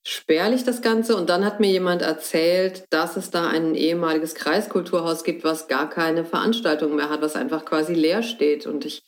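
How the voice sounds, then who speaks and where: A middle-aged woman from Hamburg, a theatre director, calmly telling her story as a guest at her home. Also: deep voice, normal speed, hardly any pauses. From Hamburg, Germany. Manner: calm, thoughtful storytelling. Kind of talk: casual interview.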